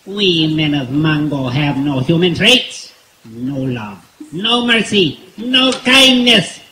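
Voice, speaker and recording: Casting: merciless alien emperor, pompous and commanding, sharp swooping voice, radio serial staged in a roomy, noisy space. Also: male voice, a little high, very animated, slow pace, heavily acted. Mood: coldly menacing. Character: cruel, imperious male alien emperor, coldly gloating. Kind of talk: old-time radio serial acting on stage. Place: outdoor woodland stage at night.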